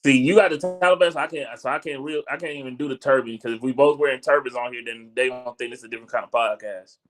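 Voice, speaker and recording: A man's voice: medium pitch, fast, faint music behind. A man hosting a gaming podcast, teasing his co-host and joking around in casual chat. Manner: joking, teasing, laughs a lot.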